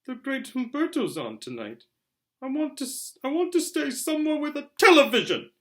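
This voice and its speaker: Man doing a high, whiny spoiled boy. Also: very animated, moderate pace, full character voice. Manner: whining, loud, petulant.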